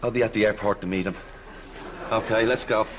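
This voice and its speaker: An actor playing an awkward, evasive grown son in a sitcom, speaking plainly with an Irish accent. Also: male voice, lowish voice, steady tone, fairly quick, lightly acted. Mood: nervous, evasive. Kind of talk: sitcom acting. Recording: noisy TV studio sound.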